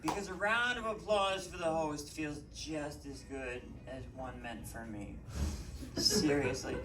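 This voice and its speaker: Slow Boston-accented comic in a roomy club. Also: male voice, fairly high pitch, very noisy. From Boston, USA. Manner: rambling and self-mocking, many ums. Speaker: stand-up comedian. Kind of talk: live stand-up set. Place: comedy club stage. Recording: roomy, mic at a distance.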